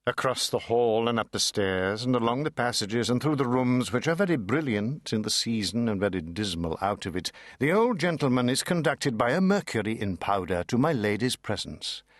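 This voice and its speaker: A man narrating classic fiction as a dramatic audiobook, measured and savouring each phrase. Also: mid-range voice, expressive, no room sound, clean studio sound.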